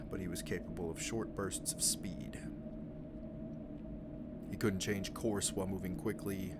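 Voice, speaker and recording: A man acts out a captive elite soldier with a low voice, reporting in clipped, formal military phrases. He stays stoic, in the manner of an audio roleplay. Heavy noise and ambience sit behind the voice. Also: lightly acted. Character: stoic captive male special-forces operative giving a mission debrief. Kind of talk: dramatic audio roleplay acting. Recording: clearly noisy background with ambience.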